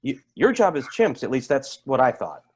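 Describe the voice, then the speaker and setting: A man plays a bitter, sarcastic guy in a stage drama. His pitch swings widely as he needles, stumbling and repeating words to make his point. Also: big pitch swings, moderate pace, darkish tone, breathy edge. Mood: bitter sarcasm. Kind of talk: staged play reading.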